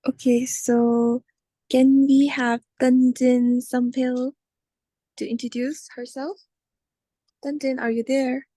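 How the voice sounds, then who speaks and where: A woman's voice: sits high, flat delivery, slow pace, pauses often, warm tone, no echo. A woman in her twenties moderating an informal online webinar. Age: 20s. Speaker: session moderator and consultant. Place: online video call.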